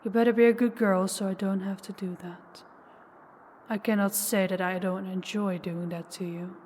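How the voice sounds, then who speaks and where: A woman's voice: medium pitch, level tone, normal speed, a little mellow, in a large hall, a little hiss or hum, lightly acted. A woman playing a menacing, possessive ancient female vampire in an intimate audio roleplay, taunting and commanding, sometimes dropping toward a whisper. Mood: sadistic, controlled. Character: possessive, menacing older female vampire, toying with captive huntress.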